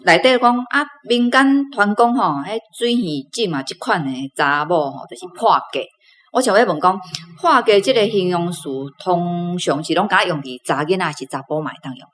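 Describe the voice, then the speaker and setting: A woman's voice: fairly low pitch, fairly bright tone. A woman with a Taiwanese accent, a reading club member asking questions conversationally.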